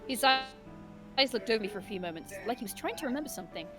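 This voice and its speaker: A woman with a high voice reads a visual novel aloud on a stream. Her delivery is very animated and giggly, slipping between story narration and casual chat. Game music plays softly behind her. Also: very fast. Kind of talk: expressive reading of narration with casual banter.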